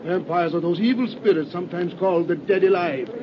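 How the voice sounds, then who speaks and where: An actor playing a relentless doctor who hunts vampires, declaiming formally and portentously in an old radio horror drama. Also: male voice, fairly quick, natural voice. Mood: ominous, insinuating. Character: grave, relentless male doctor hunting vampires. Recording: muffled, noisy vintage radio recording with rumble.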